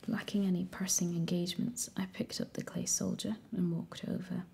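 Woman whispering a story, mellow and low, flat soft delivery. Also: normal speed, creaky, husky. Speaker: audiobook reader. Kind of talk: whispered ASMR story reading.

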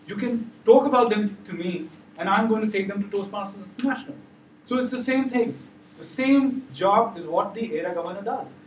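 Middle-aged male trainer, loud and upbeat, unmiked, far camera pickup with faint room noise. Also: high voice, roomy sound. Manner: loud, encouraging, asks the room questions. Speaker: workshop trainer. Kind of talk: interactive training session. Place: large meeting room with projector. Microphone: far camera, no mic.

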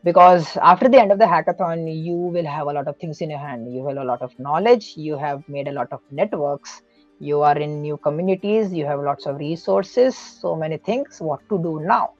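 A man's voice: fairly high pitch, normal speed, no echo. An earnest man explains things step by step as a podcast guest, often restarting and correcting himself mid-sentence. His voice is dry and close, with no room sound, and slightly muffled, with faint music behind. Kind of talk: casual podcast advice.

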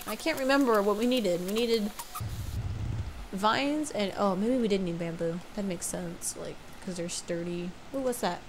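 A young woman commentating a video game casually, congested from allergies, rambling and correcting herself often. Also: medium pitch. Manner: rambling, self-correcting, unsure. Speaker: let's play gamer.

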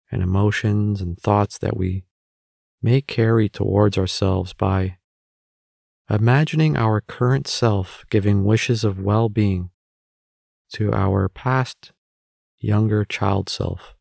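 A man leading a guided meditation as an instructor, speaking in measured short phrases with pauses between them. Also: fairly low pitch, fairly even intonation, vocal fry, a little mellow, no echo, clean studio sound.

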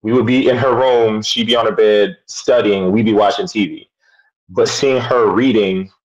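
A man in his thirties, a teacher and interview guest, speaking in an articulate, measured way over a video call. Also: on the low side. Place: home room. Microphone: webcam on a video call. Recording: dull lo-fi video call audio.

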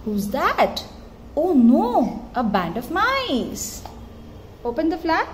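A woman reading a picture book aloud to a small child in an expressive, singsong, encouraging way, pausing to give simple prompts. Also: high voice. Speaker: mother reading to her toddler. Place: tiled floor in a room at home. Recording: clearly noisy home phone recording.

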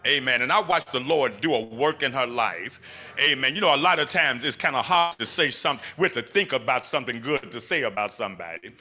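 A deep-voiced man speaks from a church pulpit with a very bright, forceful edge to his voice, punctuating every few phrases with an amen. He holds a microphone in a large sanctuary. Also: animated, brisk. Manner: warm preacher cadence with frequent amens. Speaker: introducer of the speaker, her older brother. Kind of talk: church introduction speech. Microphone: handheld mic at pulpit, far camera.

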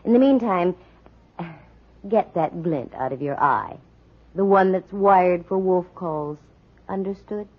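A tough American woman private eye in 1940s radio drama. Her voice is husky and her delivery brisk, dry and full of sardonic edge. The sound is muffled, like an old broadcast. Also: on the low side, expressive, heavily acted. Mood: firm, brisk. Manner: brisk, sardonic, quick with wisecracks. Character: wisecracking, tough, dry-witted female private detective. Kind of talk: 1940s radio detective acting.

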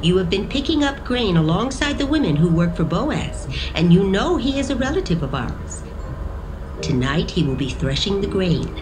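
A woman's voice: mid-range voice, sing-song, normal speed, close to an everyday voice. A woman playing a caring, directing older widowed mother-in-law in a dramatised audio Bible. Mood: earnest instructing. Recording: music behind the voice.

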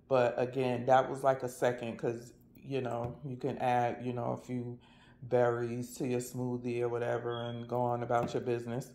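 Gravelly, even-toned American man. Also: mid-range voice. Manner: folksy, colloquial. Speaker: vlogger reviewing meal products. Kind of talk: casual product review chat.

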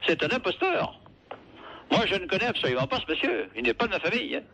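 Casting: man in his sixties, bright fast voice, emphatic and repetitive, on a phone call. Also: sits high, big pitch swings. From Creuse, France. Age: 60s. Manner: emphatic, repeats himself. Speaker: prank call recipient. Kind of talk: phone conversation. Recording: faintly noisy phone call.

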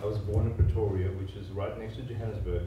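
A man from South Africa in his thirties, a guest telling his life story in an interview, earnest and halting, with many restarts. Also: on the low side, fairly even intonation, lo-fi sound, loud music behind the voice. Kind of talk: conversational oral-history interview. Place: large echoey room, seated on stage. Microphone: handheld mic. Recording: roomy hall sound, mic picked up from a distance.

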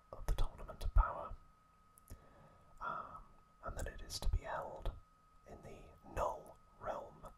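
A man whispering breathily in a halting, gentle ASMR storytelling recap, close to the microphone. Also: extremely high pitch, poor audio. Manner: slow, halting phrases with pauses. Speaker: ASMR host.

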